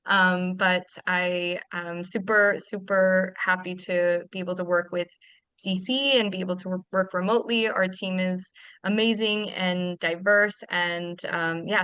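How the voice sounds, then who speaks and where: Webinar host, woman, bright crisp voice, dry close mic, upbeat with fillers. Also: on the low side, steady tone, moderate pace, dry recording. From Canada. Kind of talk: casual webinar hosting.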